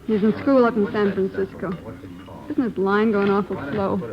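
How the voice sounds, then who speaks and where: A woman's voice: mid-range voice, steady tone, moderate pace, natural voice. A woman playing a world-weary, evasive suspect in an old radio crime drama, answering curtly. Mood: defensive. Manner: evasive, curt. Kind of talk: 1940s-50s radio drama acting. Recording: dull old radio recording, crowd chatter behind.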